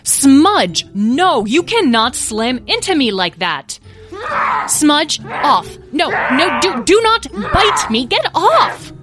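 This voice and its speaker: Woman acting as mother dragon, stern, exasperated, commanding, animated swooping pitch, storybook, music behind. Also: a little slow. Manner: exasperated, firm scolding. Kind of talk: children's storybook character voice.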